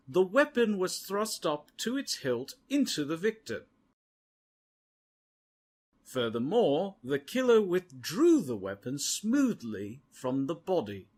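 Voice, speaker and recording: A man's voice: sits high, exaggerated voice. A man playing an earnest, theatrical defense lawyer in a courtroom game fandub.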